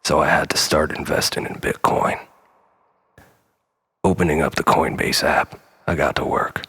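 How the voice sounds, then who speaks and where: A man's voice: rumbling low, little pitch movement, moderate pace, sharp tone, breathy edge. A man delivering a solemn, theatrical, darkly comic monologue in a brooding style.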